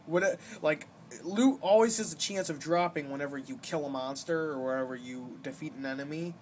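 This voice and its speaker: Young man, higher-pitched, casual gameplay commentary. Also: brisk. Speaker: gaming video host. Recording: noisy amateur home recording.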